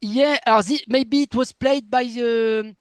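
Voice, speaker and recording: A man whose first language is French, interviewing in halting, enthusiastic English as he searches for words. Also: very high voice, fairly even intonation, smooth, no echo, studio quality. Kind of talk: casual interview chat.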